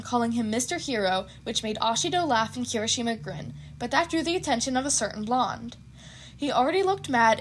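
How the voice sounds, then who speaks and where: A woman's voice: a little high, slight background noise. A woman narrating fan fiction expressively, with casual asides.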